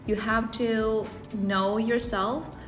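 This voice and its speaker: A woman's voice: fairly high pitch, steady tone, slow. A woman speaking English, giving earnest personal advice to camera and often rephrasing herself mid-sentence. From South Korea. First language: Korean. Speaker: English-learning video creator. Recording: roomy echo, faint background music.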